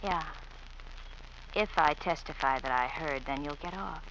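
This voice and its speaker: An actress playing a spiteful, taunting wife in an old radio thriller, with pointed, needling, dramatic delivery. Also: female voice, low voice, measured pace, fairly bright tone. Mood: cold, taunting. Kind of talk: 1940s-50s radio thriller acting. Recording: muffled, noisy vintage radio recording.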